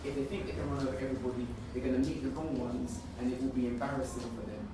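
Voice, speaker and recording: Guy talking earnestly in a group, repeats himself for emphasis, mid pitch, super echoey and noisy. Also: steady tone, quick pace. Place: echoey indoor room. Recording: very echoey room, distant mic, noisy.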